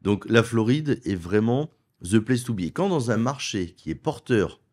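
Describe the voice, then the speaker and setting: Persuasive low-voiced French man. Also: lively intonation, a little mellow. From France. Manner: persuasive, lists points in quick runs. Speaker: wealth advisor and property investment guest. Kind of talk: conversational interview. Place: studio.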